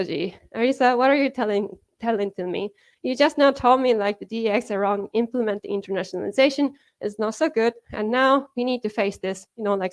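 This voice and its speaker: A woman from Japan with a Japanese accent, giving a conference talk in a chatty way full of fillers, laughing now and then. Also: fairly high pitch. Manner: chatty, many fillers like "you know" and "like", laughs often. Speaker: conference speaker. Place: conference hall.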